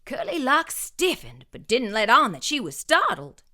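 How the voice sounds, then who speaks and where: A woman hosting a story time and reading a picture book aloud, expressive and sing-song. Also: high voice, normal speed. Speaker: storyteller host.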